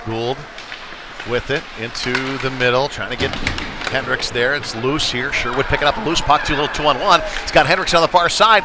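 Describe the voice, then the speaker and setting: A man doing live play-by-play of youth ice hockey in a conversational way, with filler words and casual asides. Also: mid-range voice. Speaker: play-by-play sports commentator. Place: indoor ice rink. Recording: noisy live rink broadcast.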